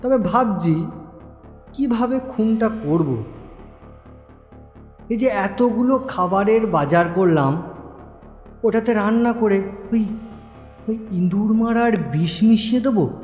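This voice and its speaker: A man's voice: high voice, expressive, takes it slowly, pauses often, darkish tone. A man playing an unhinged, rambling middle-class narrator in an audio story who gleefully plots murder and keeps interrupting himself to address listeners. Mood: musing, darkly amused. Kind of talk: dramatic first-person audio story monologue. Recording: echoing reverb over music bed.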